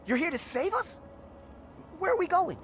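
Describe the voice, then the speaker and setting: An actor playing a timid, grateful captive slave in a video game, earnest and full of disbelief. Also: male voice, extremely high pitch, very animated, moderate pace, a bit bright, slight background noise, exaggerated voice. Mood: amazed, grateful. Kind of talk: video game character dialogue.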